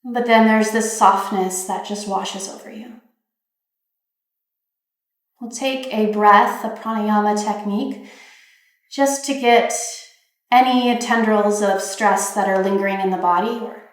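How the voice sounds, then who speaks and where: A woman leading a guided meditation, giving gentle, soothing instructions in an unhurried way with pauses. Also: medium pitch, steady tone. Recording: very echoey, reverberant room.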